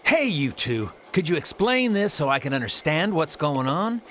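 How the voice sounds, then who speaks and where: High, bright, excitable male sidekick. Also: moderate pace, slight background noise. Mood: excited, indignant. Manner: excitable, theatrical. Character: excitable, self-important male comic sidekick. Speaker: voice actor in a video game. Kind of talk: theatrical game cutscene acting.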